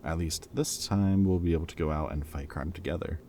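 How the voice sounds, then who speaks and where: A man's voice: sits low, a little fast, warm tone, a little hiss or hum. A man playing a teasing but caring ex-villain in an intimate roleplay audio drama, speaking in casual, wry banter. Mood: worried, caring. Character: teasing, caring male ex-villain planning with old hero friend.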